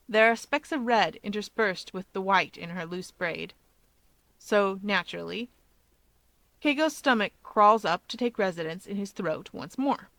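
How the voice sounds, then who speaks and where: A woman reading a story aloud as narrator, with expressive scripted delivery. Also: medium pitch, no room sound, low-quality recording.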